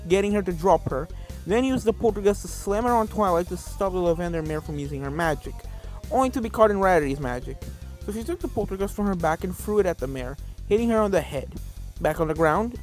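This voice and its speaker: A narrator reading an adventure story expressively, occasionally stumbling and correcting the wording, with game music behind. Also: medium pitch. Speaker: narrator of a fan fiction reading. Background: video game music.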